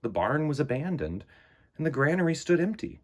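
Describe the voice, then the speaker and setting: Animated, husky-voiced man hosting children's storytime. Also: a little high, a little fast. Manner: enthusiastic, theatrical, emphatic. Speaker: host of a children's storytime show. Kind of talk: animated read-aloud and chat for children.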